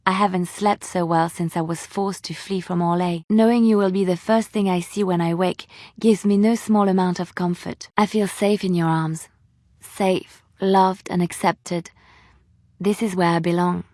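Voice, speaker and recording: An actress playing a devout, romantic young woman adventurer in a video game, heartfelt, coy and emotionally expressive. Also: lowish voice, moderate pace, no echo, studio quality, lightly acted. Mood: blissful, tender. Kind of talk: video game dialogue acting.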